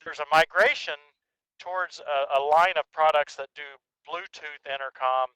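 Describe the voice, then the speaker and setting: A man giving a casual, explanatory product review as host, in a halting way with check-in questions, recorded through an intercom headset microphone. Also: a little high, sharp tone, smooth, no room sound, rough recording.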